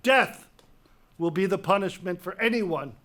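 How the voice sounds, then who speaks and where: Creon, slow, high-pitched imperious king. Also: fairly bright tone. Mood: commanding, stern. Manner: slow, emphatic, declamatory, imperious. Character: Creon, proud autocratic king laying down harsh law. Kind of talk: dramatic reading of Greek tragedy.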